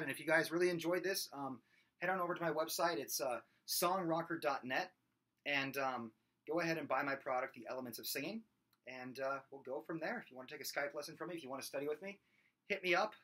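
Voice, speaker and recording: A man in his thirties with a small, nasal, somewhat whiny voice, giving a casual singing lesson to a webcam in a room at home, talking with his hands. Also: sharp tone. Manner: talks with his hands, demonstrates belted high screams. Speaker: vocal coach. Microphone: webcam at desk distance.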